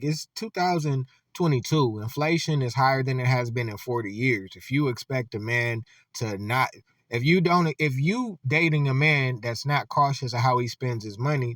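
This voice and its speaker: A man's voice: medium pitch, fairly bright tone, no echo, distant mic. A man giving loose, conversational reaction commentary, full of filler words.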